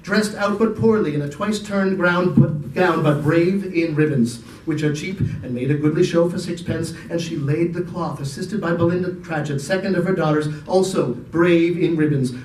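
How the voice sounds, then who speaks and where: A man reading a story aloud as dramatic literary narration, with theatrical, deliberate phrasing. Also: high voice, slight background noise. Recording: echoey room, distant microphone.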